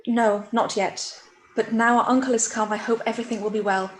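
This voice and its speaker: Echoey, gentle young gentlewoman played by an actress. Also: fairly high pitch, steady tone, fairly quick, close to an everyday voice. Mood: relieved, hopeful. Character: gentle, anxious, hopeful young gentlewoman, eldest sister. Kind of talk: live-read radio play acting of a period drama. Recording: echoey room with background noise.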